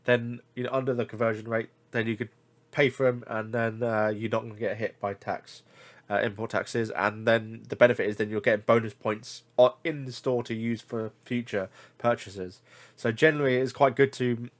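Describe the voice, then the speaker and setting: A man's voice: medium pitch, fairly even intonation, moderate pace. Congested casual male vlogger. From United Kingdom. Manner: rambling, many fillers and self-corrections. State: congested, blocked nose. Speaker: figure collector reviewing deals. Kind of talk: casual screen-share commentary.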